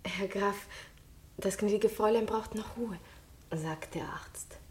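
A woman plays a meek servant in a radio drama. She speaks in a hushed, deferential manner at an average female pitch. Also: slow, lightly acted. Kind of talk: German radio drama acting.

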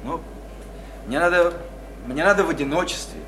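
An actor in a Russian radio play playing a flustered, squeamish, self-important lodger who stammers and repeats himself fussily. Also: male voice, a little high, moderate pace, natural voice. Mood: awkward, evasive. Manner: stammering, repetitive, fussy, indignant. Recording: noisy, roomy radio play recording.

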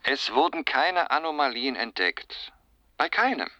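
A reassuring, breezy male mission expert in a radio play. His intonation swings widely and his tone is bright and sharp, though the link sounds band-limited. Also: mid-range voice, very animated, measured pace, highs cut off, slight background noise. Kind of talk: radio drama dialogue.

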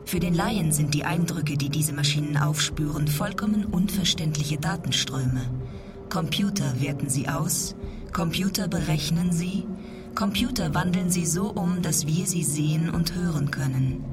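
Woman, calm documentary narration, low voice, loud music behind. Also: normal speed. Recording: distant voice under loud music.

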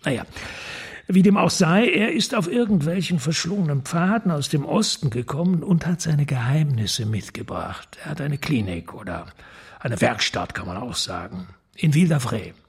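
Husky, dramatic police prefect. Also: male voice, fairly high pitch, expressive, exaggerated voice. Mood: intrigued, conspiratorial. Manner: formal, theatrical, emphatic with dramatic pauses. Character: urgent, smooth-tongued high police prefect, persuasive and secretive. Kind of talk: radio crime drama acting.

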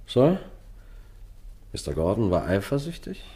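Low-voiced, measured police detective. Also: male voice, sing-song, dark tone, slightly rough, full character voice. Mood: probing. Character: male police detective narrating a murder inquiry. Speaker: detective and narrator. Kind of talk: radio play acting and first-person narration.

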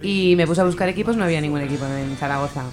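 A woman's voice: sits low, rapid-fire. A woman with a Spanish accent, chatting casually as a radio interview guest. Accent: Spain. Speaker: roller derby player, interview guest. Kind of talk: casual chat. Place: community radio studio. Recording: faint music under the talk. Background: faint music.

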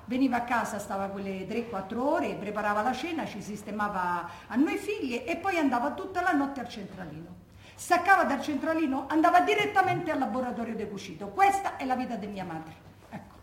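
An actress playing the grieving, indignant daughter of a Roman war widow, telling her story colloquially and emphatically in a Roman accent, as theatrical testimony. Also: on the high side. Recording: echoey room, faint background noise.

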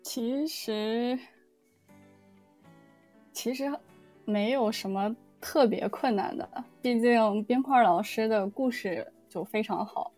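A woman's voice: on the high side, slow pace, a little mellow, soft background music. A woman answering casually as a scriptwriter guest, hesitantly restarting her phrases. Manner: hesitant, repeats phrase openings. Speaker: audio drama scriptwriter guest.

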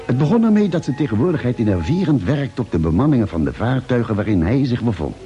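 An actor playing a grave, factual ship's doctor who narrates a space radio serial in measured, solemn, formal speech. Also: male voice, mid-range voice, very animated, dark tone, lo-fi sound, soft background music, natural voice. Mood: grave, suspenseful. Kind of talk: dramatic radio play narration.

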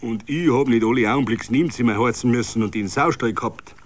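A put-upon innkeeper with a Bavarian accent, acted by a man. He grumbles drily in a middling pitch, as a character in a radio tavern scene. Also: fast, close to an everyday voice. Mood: grumbling.

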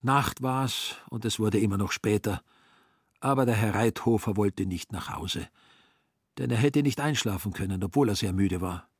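Husky male literary narrator. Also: fairly low pitch, moderate pace, no room sound, clean studio sound. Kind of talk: scripted literary radio drama narration.

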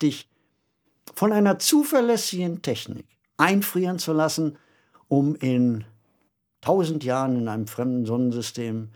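A woman speaking as a podcast host in a deliberate, analytical, conversational way. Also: extremely low pitch, darkish tone.

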